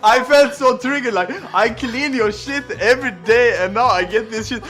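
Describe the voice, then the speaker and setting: A young man with a Swedish accent, talking casually with his partner in an animated, exasperated way. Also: very high voice, moderate pace, soft background music. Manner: animated, exasperated ranting with swearing. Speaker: vlog host. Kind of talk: casual couple chat.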